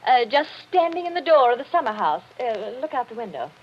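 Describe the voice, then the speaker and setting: An actress playing a brittle, middle-aged wife in a 1940s radio drama, clipped and dryly sarcastic under strain. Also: female voice, a little high, big pitch swings, a little fast, slightly rough. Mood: wistful pride. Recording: dull, noisy vintage radio recording.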